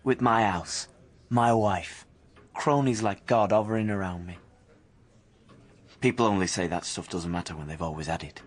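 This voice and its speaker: An actor playing a restless young working-class husband who narrates in an anxious, colloquial, confiding way in an audio drama. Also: male voice, deep voice, normal speed, pauses often. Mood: reflective.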